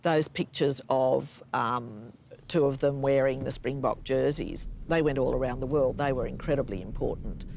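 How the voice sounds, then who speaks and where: A man's voice: mid-range voice, normal speed, vocal fry, a little mellow, a little hiss or hum. A man talking conversationally in a documentary interview.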